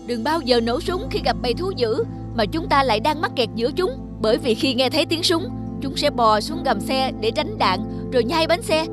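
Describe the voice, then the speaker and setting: A woman's voice: high-pitched, expressive, moderate pace. A woman voicing a cautious, knowing mountain guide in a cartoon story, earnest and instructive. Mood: firm warning. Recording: music behind the voice.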